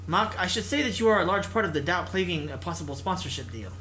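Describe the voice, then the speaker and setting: A man voicing a stern, disappointed corporate executive who speaks in a formal, cutting, measured way. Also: high-pitched, lively intonation, sharp tone, a little hiss or hum. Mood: cold, disapproving.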